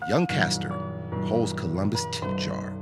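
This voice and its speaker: A man narrating a scripted radio drama as a storyteller, in a measured, dramatic way. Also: on the low side, sing-song, loud music behind the voice.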